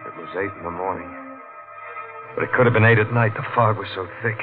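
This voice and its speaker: A man's voice: on the low side, fast talker, phone-quality sound, lightly acted. A man playing a tough, slangy police detective who narrates his own case in a 1940s radio crime drama. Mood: tense narrating. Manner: hardboiled, clipped slang. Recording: band-limited vintage radio, music behind.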